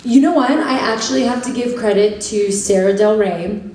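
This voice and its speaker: A woman's voice: medium pitch, darkish tone, recorded from a distance. Bubbly woman in an echoey hall. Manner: bubbly, laughs often, quick asides. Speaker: panelist answering fan questions. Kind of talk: casual convention Q&A. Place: large convention hall. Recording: echoey hall, heard from afar.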